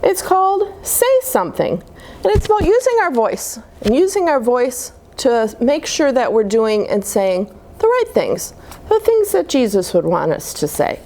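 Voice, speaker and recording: A woman reads aloud for young children with a highly animated delivery. Her voice sits a little high and moves at an unhurried pace, explaining things simply as she goes. There is some background noise. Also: low-quality recording. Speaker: children's storybook reader at a church.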